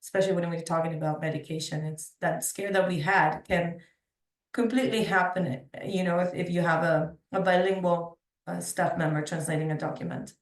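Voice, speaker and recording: A woman's voice: lowish voice, steady tone, brisk. A woman on a webinar panel, explaining earnestly as a translation expert and repeating words for emphasis. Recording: roomy echo, distant from mic.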